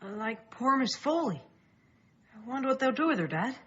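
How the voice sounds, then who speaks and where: A woman playing an earnest, anxious, curious young boy in a radio play, husky, with urgent questioning. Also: fairly high pitch, sing-song, normal speed, a bit bright, heavily acted. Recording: dull, muffled lo-fi radio play.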